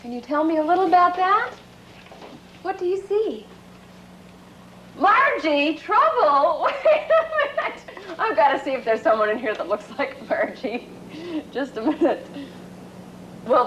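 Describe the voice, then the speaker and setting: A woman's voice: sits high, very animated, normal speed, fairly bright tone. A woman talking informally and coaxingly, as if to a child or an animal, in short patient phrases. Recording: muffled lo-fi field audio with noise.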